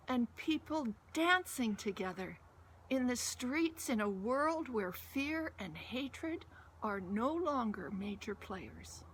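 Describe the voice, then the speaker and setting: Woman reading a poem real slow, higher voice, deliberate pauses, bit of background noise. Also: creaky, a bit bright. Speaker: poetry reader. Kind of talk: slow, measured spoken-word poem recital.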